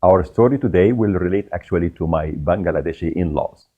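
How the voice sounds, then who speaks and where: A middle-aged man from Egypt hosting a show, telling a personal story in an animated way with laughs along the way. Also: sits low, brisk, slightly rough, phone-quality sound. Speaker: podcast host. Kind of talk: storytelling monologue.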